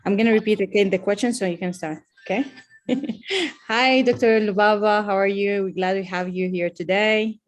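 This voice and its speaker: A woman's voice: medium pitch, fairly even intonation, normal speed. A woman interviewing a physician in a practice interview over a video call, hesitant and searching for her words. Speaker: interviewer, doctor.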